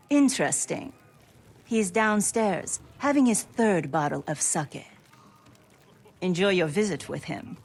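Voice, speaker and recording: An actress playing a poised, courteous noblewoman in a game cutscene, composed and quietly authoritative. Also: lowish voice, animated, slow pace, vocal fry, long pauses, exaggerated voice. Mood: wry amusement. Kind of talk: dramatic game cutscene acting.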